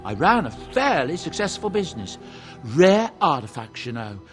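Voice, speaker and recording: A man's voice: fairly high pitch, sing-song, moderate pace, soft background music. An actor playing a genial middle-aged dwarven merchant and adoptive father, telling his story in a folksy, self-justifying way for a video game. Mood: wry reminiscing.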